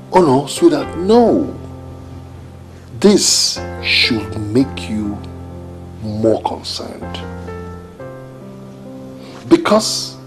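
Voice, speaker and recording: A man's voice: fairly low pitch, vocal fry, full character voice. A Nigerian man acting an agitated husband in a domestic film drama, emphatic and repeating himself. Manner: emphatic, repetitive, deliberate. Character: agitated, dramatic husband worried for his brother.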